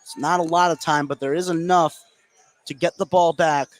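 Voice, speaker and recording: A man's voice: a little high, steady tone, moderate pace. A man giving conversational color commentary on a live basketball game. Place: courtside in a gymnasium. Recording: gym crowd noise behind voice. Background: game crowd.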